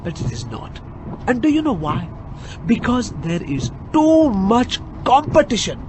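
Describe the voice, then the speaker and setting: An actor playing a chatty, boastful immigrant cab driver with an Indian accent, hesitant and earnest in a comic radio drama. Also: male voice, extremely high pitch, very animated, unhurried, noisy background, exaggerated voice. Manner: hesitant yet garrulous, earnest, comically emphatic.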